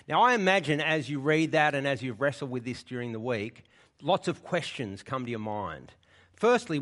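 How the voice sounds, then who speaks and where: A man's voice: mid-range voice, fairly bright tone. Man preaching a conversational sermon. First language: English. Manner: conversational, checks in with listeners. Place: church.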